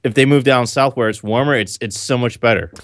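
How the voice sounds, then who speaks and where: A man's voice: mid-range voice, brisk, dry recording, clean studio sound. A man co-hosting a casual tech podcast, joking and teasing his partner. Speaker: co-host.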